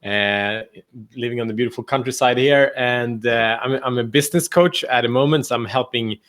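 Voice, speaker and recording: A man's voice: medium pitch, normal speed, fairly bright tone. Guy, business coach, eager and full of uhs, mid voice. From Sweden. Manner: enthusiastic, lots of fillers, laughs. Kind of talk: casual chat on a video call.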